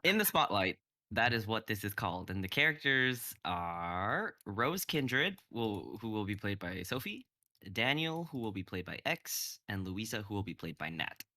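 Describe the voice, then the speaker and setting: A young man with a low voice speaks with a lot of swing in his pitch and a bright, sharp tone. He rambles and cuts himself off mid-thought. The sound is dry and close. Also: normal speed, no room sound. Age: young adult. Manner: rambling, self-interrupting, animated. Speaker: playwright presenting his script. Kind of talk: casual chat.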